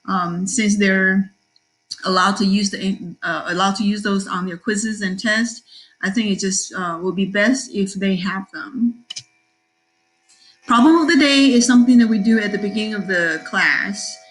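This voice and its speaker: Brief: middle-aged woman teacher from Taiwan, upbeat, filler-heavy, mid-pitched voice. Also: poor audio. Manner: cheerful, with many fillers and pauses. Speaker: math teacher. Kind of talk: class introduction talk.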